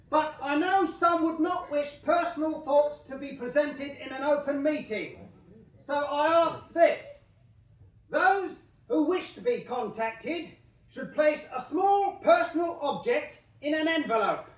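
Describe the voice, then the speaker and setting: An actor playing a shifty young Victorian spirit medium who speaks in a hesitant, halting way. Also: male voice, very high-pitched, fairly bright tone, heavily acted. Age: young adult. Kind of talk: 1980s radio drama acting. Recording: echoey stage sound from a distance.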